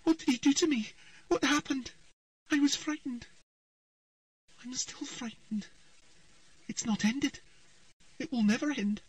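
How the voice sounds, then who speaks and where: A man's voice: high voice, measured pace, long pauses, breathy edge, dry recording, recorded from a distance, pitched above the performer's own voice. A man voicing a frightened young girl in a trance, speaking in a shaky, pleading voice.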